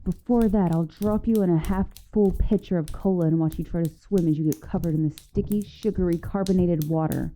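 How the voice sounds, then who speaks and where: A woman's voice: fairly low pitch, fairly quick, few pauses, mellow, close to an everyday voice, poor audio. A woman voicing a vengeful, teasing young giantess in an ASMR roleplay monologue, mocking and menacing in a playful, theatrical way. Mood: gloating, threatening. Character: gloating, teasing vengeful young giantess taunting shrunken captive.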